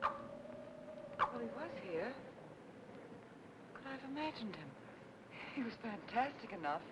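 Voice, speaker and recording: An actress playing a refined young widow, wary but playful, in 1940s film noir style. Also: female voice, sing-song, slow pace. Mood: flustered, uneasy. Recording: noisy old film soundtrack with rumble.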